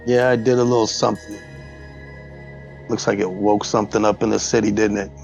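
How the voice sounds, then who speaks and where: A man's voice: fairly low pitch, little pitch movement, a little fast, gravelly, a little mellow, highs cut off, soft background music. A man taking part in a tabletop role-playing game, talking casually as a player.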